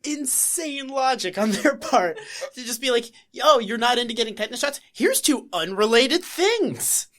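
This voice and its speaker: A woman's voice: on the high side, lively intonation, moderate pace, hardly any pauses, fairly bright tone. Woman cohost riffing, sarcastic, pokes fun at everything. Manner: sarcastic, mocking retellings. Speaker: podcast co-host. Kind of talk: casual podcast chat.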